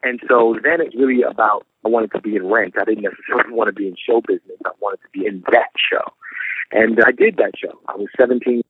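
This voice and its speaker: A man's voice: lowish voice, steady tone, slightly rough, dry recording, phone-quality sound. A man in his thirties from New York, raised in Philadelphia, answering interview questions as a guest actor in a thoughtful, storytelling way. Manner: thoughtful, unhurried storytelling with small restarts.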